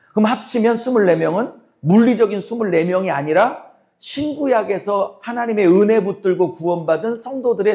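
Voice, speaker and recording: A middle-aged man preaching a sermon to his congregation, his voice hoarse and cracking. He fires rhetorical questions at the listeners and speaks bluntly and forcefully. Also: sits high. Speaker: pastor.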